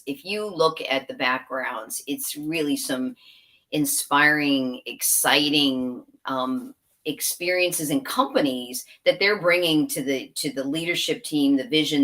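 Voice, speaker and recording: A woman's voice: deep voice, a bit bright. A woman guest in an interview, chatty and rambling, laughing and trailing into asides. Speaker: guest, software company executive. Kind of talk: conversational interview.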